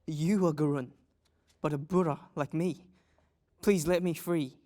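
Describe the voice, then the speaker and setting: A man's voice: a little high, fairly even intonation, unhurried, dark tone, close to an everyday voice. A man playing an earnest captive tribesman in a fantasy drama, pleading his case.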